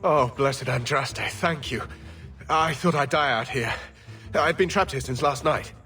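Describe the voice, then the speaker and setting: A man's voice: medium pitch, measured pace, sharp tone, distant mic, faint music behind. A man playing a weary, courteous scholar stranded in the wilds, in scripted fantasy game dialogue. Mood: weary, resigned.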